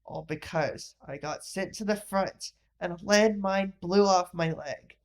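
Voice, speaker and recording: A woman reads the part of a middle-aged war veteran in a bedtime audiobook. He is bitter and worn down, speaking slowly and plainly, as a man with debts and old losses. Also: lowish voice, voice pushed down. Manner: weary, downhearted, plain-spoken. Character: embittered one-legged war veteran glass seller, middle-aged man.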